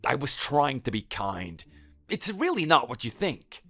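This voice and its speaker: A man's voice: mid-range voice, big pitch swings, a little slow, vocal fry, bright, forceful tone, faint music behind, full character voice. A man acting a defensive, flustered husband caught cheating in a dramatized audio story, stammering out excuses. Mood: panicked, pleading.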